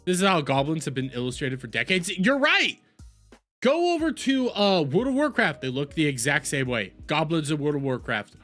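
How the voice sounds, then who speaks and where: A man's voice: a little high, lively intonation, moderate pace, fairly bright tone, faint music behind. A man hosting a reaction video, giving heated, casual opinion commentary in an argumentative, exasperated and mocking way, repeating phrases for emphasis.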